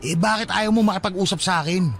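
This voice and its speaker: An insistent young Filipino man played by an actor presses his point in a radio-style drama. His slightly high, fast voice moves with animated, confrontational stubbornness over faint music. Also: vocal fry.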